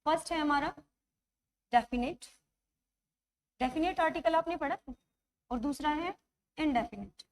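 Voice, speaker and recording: A woman teacher with a high voice leads an online grammar class, her throat sore. She keeps coaxing the class with quick check-ins and slows right down to spell out examples. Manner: coaxing, checks understanding often; writes examples while speaking, slows to dictate them.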